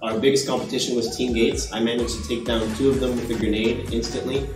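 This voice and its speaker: A man's voice: mid-range voice, little pitch movement, fast talker. A man recounting a match step by step in a recorded player interview. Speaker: esports player. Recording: roomy, distant mic, music underneath.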